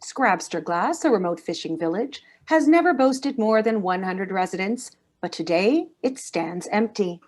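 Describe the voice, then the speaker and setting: A woman's voice: mid-range voice, lively intonation, a little slow, few pauses, darkish tone. A woman playing a serious television news anchor in a drama table read, reading in a formal style. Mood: grave, formal.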